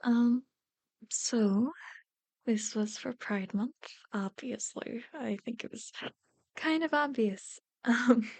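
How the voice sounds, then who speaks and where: A woman's voice: fairly high pitch, takes it slowly, darkish tone, no room sound. A woman hosting her ASMR channel, chatting casually to viewers in a giggly way. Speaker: host, ASMR creator.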